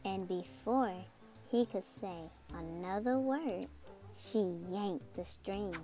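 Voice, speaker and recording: Slow-paced woman reading a children's story. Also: mid-range voice, dark tone, poor audio. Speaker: children's book reader. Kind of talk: expressive scripted storybook narration. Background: music under the voice.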